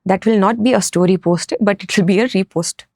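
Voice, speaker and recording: A woman's voice: mid-range voice, brisk, a little mellow, no echo, studio quality. A woman from Nepal talking casually and explaining things as a business founder in a podcast interview. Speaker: food truck business co-founder, podcast guest.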